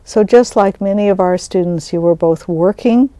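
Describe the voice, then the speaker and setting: A woman hosts an interview. Her voice is soft-edged and mellow, recorded with very little room sound. She moves between introductions and conversational questions. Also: mid-range voice, normal speed, no echo. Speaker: interview host; college business instructor.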